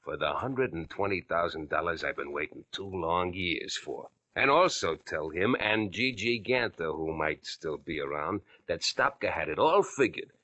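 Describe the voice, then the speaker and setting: An actor playing a cocky, menacing American crook in an old-time radio crime drama, talking in tough slang. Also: male voice, low voice, expressive, normal speed, hardly any pauses, sharp tone, heavily acted. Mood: smug.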